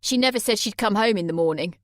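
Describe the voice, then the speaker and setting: A woman's voice: mid-range voice, fast talker, no room sound, clean studio sound, lightly acted. A woman doing a harsh, furious young man. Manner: loud, harsh, accusing.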